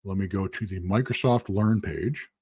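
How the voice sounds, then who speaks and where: A husky man, losing his voice, teaching a casual live-streamed lecture in a rambling, conversational way with asides. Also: low-pitched, normal speed, warm tone, no room sound, studio quality. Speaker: instructor.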